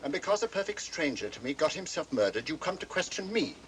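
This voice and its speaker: A man's voice: very animated, fast, fairly bright tone, rough recording. An older man, acting a haughty, irascible professor who speaks with sarcastic challenge in a vintage mystery film. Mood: affronted. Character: irascible, haughty old professor, scornful train passenger. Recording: vintage film soundtrack, train rumble.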